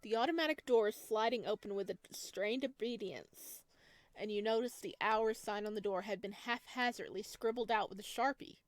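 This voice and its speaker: A woman's voice: mid-range voice, moderate pace, dry recording. Woman reading a horror game aloud, dry close sound. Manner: reads narration at an even pace, breaking off for chatty asides and giggles. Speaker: game streamer. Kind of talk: reading a visual novel aloud with commentary.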